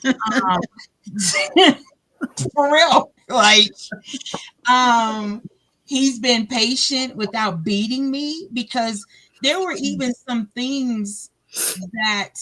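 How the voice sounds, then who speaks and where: A woman with a big, loud voice, a guest talking about her faith in a lively way and acting out her own conversations. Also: fairly high pitch, extremely slow, long pauses, rough recording. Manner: animated, plays out her own dialogue. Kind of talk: faith testimony chat.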